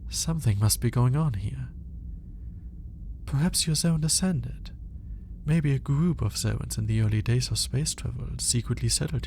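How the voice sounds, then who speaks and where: Man playing a slow, mellow, lonely alien scientist. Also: mid-range voice, slight background noise, lightly acted. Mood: puzzled, suspicious. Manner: hesitant, halting, musing aloud with sighs. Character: lonely male alien scientist, obsessive, awkward, smitten, lovelorn. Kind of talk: intimate ASMR audio roleplay monologue.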